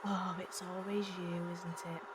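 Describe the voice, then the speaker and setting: A woman's voice: fairly low pitch, flat delivery, measured pace, noisy background, natural voice. A woman playing a stern, spiteful Victorian factory overseer in an audio drama, scolding and snappish. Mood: contemptuous. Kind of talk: fan audio drama acting.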